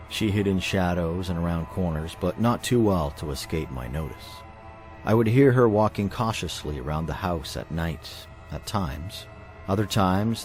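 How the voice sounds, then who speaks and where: A man's voice: deep voice, a little mellow. A man reading a scripted ghost story in the first person. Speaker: horror story narrator. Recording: music behind the voice. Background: music.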